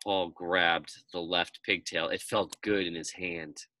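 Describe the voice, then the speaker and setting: A man narrating a children's story aloud in an expressive storytelling style. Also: low voice, takes it slowly, no echo.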